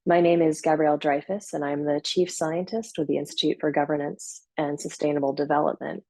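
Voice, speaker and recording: A woman hosting a scientific webinar on a video call, speaking in a measured way with frequent fillers and self-corrections. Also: low-pitched, fairly even intonation, mellow, dry recording. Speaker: webinar host and moderator. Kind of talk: formal webinar introduction. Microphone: computer mic on a video call.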